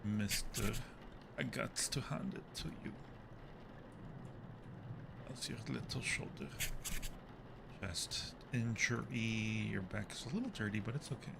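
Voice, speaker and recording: A man's voice: fairly low pitch, unhurried, long pauses. A man hosting a livestream, giving casual, jokey commentary as he plays a horror game. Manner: jokey, exclaims and yelps when startled. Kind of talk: casual live gameplay commentary. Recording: clear background noise on a livestream.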